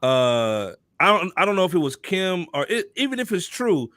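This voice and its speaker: A man's voice: high-pitched, sing-song, normal speed, a bit bright, no echo, studio quality. A man hosting a podcast with casual banter, speaking in an emphatic, punchy, slangy style. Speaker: podcast host.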